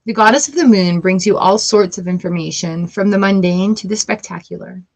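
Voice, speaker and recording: A woman's voice: medium pitch, brisk, a little mellow, rough recording. A woman doing a casual tarot reading, reading card texts aloud and breaking off into playful asides. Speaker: tarot reader.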